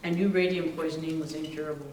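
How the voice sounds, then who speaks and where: A man's voice: a little high, fairly even intonation, fast, a little mellow, natural voice. Man playing sober medical examiner, distant mic. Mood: grave, factual. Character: sober, methodical male county medical examiner. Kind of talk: readers theater narration in character. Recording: echoey room, far mic, faint noise.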